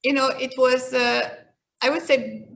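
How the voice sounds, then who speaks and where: A woman's voice: sits high, fairly even intonation, a little slow, creaky, a bit bright. A woman in her fifties from Hungary with a Hungarian accent, answering interview questions in a reflective, conversational way. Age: 50s. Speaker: interview guest, leadership expert.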